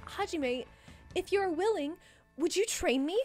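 A woman performs a young princess from a visual novel game in a high, animated voice. The delivery is formal and precise, full of earnest enthusiasm, with music underneath. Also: normal speed. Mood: polite curiosity. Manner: formal, precise, exclamatory speech. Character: polite foreign princess girl, earnest, enthusiastic about Japanese dramas. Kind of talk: dramatic read of visual novel dialogue.

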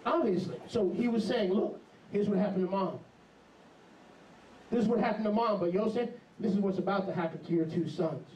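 A middle-aged man preaching an animated, emphatic sermon into a handheld mic in a small congregation hall. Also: high-pitched, fairly quick, slight background noise. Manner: animated, emphatic, jumps into mocking voices. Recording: echoey hall, camera far off.

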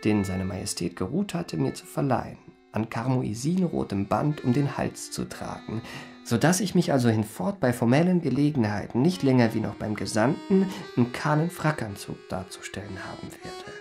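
Urbane, gravelly-edged gentleman impostor. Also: medium pitch, lively intonation, moderate pace, soft background music. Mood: pompous, pleased. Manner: ornate, pompous, self-admiring. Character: urbane, self-satisfied gentleman impostor recounting his memoirs. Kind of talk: radio play first-person narration.

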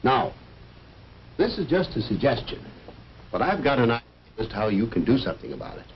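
A man's voice: mid-range voice, big pitch swings, brisk. A man playing an earnest, fatherly coach and biology teacher who lectures in 1950s educational film style. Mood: encouraging. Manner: didactic, earnest, explanatory. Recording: muffled, noisy old educational film soundtrack.

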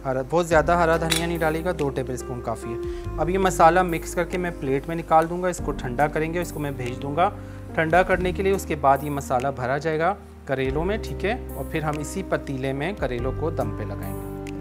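A man's voice: fairly high pitch, fairly quick. A man in his thirties hosting a cooking show, patiently explaining each step as he cooks in a studio kitchen. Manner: explains steps patiently, switches between Urdu and Sindhi. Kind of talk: cooking demonstration with phone-in calls. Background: background music.

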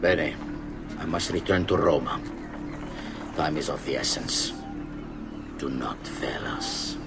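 An actor playing a commanding, menacing middle-aged master conspirator with an Italian accent, speaking deliberately in a dramatic game cutscene. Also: male voice, very deep voice, vocal fry, over loud music, exaggerated voice. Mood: stern warning. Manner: imperious, deliberate.

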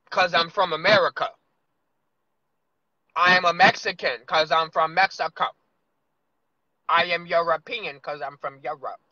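A man in his thirties vlogging from inside a car, musing loosely with drawn-out asides. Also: high voice, bright, forceful tone, no room sound. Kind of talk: casual rambling monologue. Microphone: phone camera on dashboard. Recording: lo-fi phone audio.

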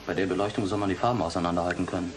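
An actor playing a brisk surgical technician, giving clipped technical reports in a German radio drama. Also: male voice, sits low, fairly even intonation, lightly acted. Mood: businesslike.

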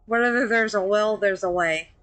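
A woman's voice: medium pitch, normal speed, a bit bright. A woman streaming a video game, chatting casually with friends, laughing often and trailing off with fillers. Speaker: VTuber streamer. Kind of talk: casual gameplay commentary.

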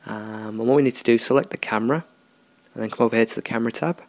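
A man talking through a software tutorial in a relaxed, unscripted way, thinking aloud as he works. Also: mid-range voice, fast talker, no echo. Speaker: tutorial host. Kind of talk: screen-recorded software tutorial.

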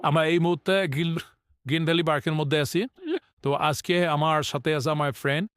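A young man chats loosely to camera, pausing on fillers as he gathers his thoughts. His voice sits a little high and sounds close, with a dry and unechoing quality. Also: clear, resonant tone, dry recording. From Bangladesh. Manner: relaxed, hesitant with many fillers. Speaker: vlogger interviewing a friend. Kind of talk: casual outdoor vlog chat. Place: outdoors in a park.

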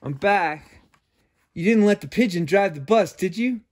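A man playing a friendly, brisk male bus driver from a storybook, addressing the listener directly. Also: sits high, lively intonation. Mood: checking.

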